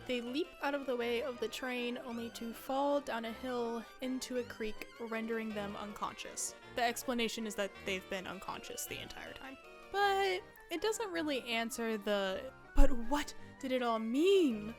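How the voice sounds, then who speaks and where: Wry young woman, fairly high voice. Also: normal speed, music in the background. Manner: conversational, wry asides. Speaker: video essayist. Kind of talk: casual scripted narration.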